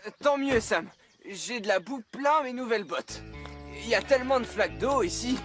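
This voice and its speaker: Naive, high-pitched cartoon fireman. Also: lively intonation, normal speed, a bit bright. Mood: complaining. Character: naive, childlike, clumsy male firefighter, eager and plaintive. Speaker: firefighter. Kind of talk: French cartoon dub. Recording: music behind the voice.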